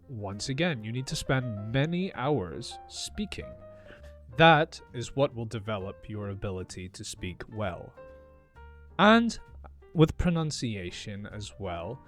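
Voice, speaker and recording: A man with a mild Scottish accent, teaching English in a calm, careful, deliberate monologue. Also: mid-range voice. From northeast Scotland. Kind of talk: slow, clear teaching monologue.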